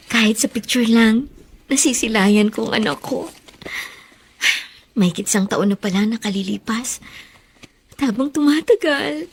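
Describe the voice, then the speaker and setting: A woman's voice: on the high side, breathy edge. An actress playing a flustered, homesick overseas maid and mother in a radio drama, with an exclamatory, emotional manner. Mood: wistful.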